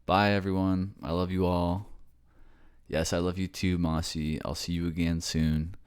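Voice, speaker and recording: Man acting a gentle boyfriend with a low voice. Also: little pitch movement, unhurried, clear, resonant tone. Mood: affectionate farewell. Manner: gentle, reassuring, casual. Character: affectionate young boyfriend raised by a ghost family. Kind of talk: ASMR boyfriend roleplay audio.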